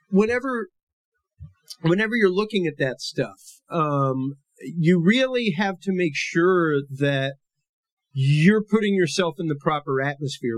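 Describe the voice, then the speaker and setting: Male podcast host, big full voice a touch on the high side, dry close sound, easygoing explainer. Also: no echo. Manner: conversational, loose and explanatory. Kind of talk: casual educational podcast monologue.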